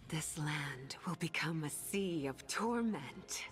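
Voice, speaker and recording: Slow, sultry, sadistic demoness. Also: female voice, low-pitched, lively intonation, faint music behind. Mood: menacing, sadistic. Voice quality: breathy, whispery. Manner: slow, sultry, teasing purr. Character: seductive, sadistic female demon who delights in others' pain. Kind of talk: video game character voice lines.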